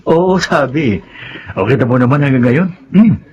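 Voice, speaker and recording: Actor as jovial, muffled-sounding brother-in-law. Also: male voice, medium pitch, animated, normal speed, natural voice. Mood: hearty, pleased. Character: Leandro, jovial flattering married brother-in-law. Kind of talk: radio drama acting. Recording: muffled radio drama, faint noise.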